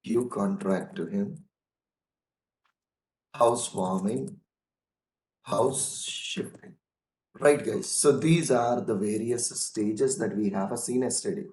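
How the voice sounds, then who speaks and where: A hoarse man with a cold, teaching a casual online lesson on software testing, calling his listeners "guys" and repeating his points. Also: lowish voice, reverberant, slight background noise, poor audio. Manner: slow and repetitive, addresses listeners as "guys".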